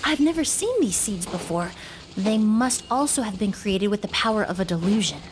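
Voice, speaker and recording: An actress playing a composed young woman perfumer and investigator, speaking in a calm, measured, thoughtful way in a video game. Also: medium pitch, natural voice. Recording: game audio with faint music. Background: game music.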